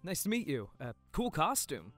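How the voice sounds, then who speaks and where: A man's voice: a little high, huge pitch swings, normal speed, fairly bright tone, dry recording, soft background music. Easygoing boyfriend at a party, audio drama, male actor, natural delivery, very dry recording. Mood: friendly. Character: easygoing, good-humoured boyfriend at a costume party.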